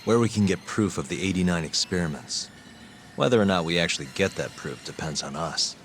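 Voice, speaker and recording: An actor playing a hard-boiled, impatient interrogator who presses bluntly and with menace in a game cutscene. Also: male voice, deep voice, animated, fairly quick, a bit bright, soft background music. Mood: probing. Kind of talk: dramatic video game cutscene acting.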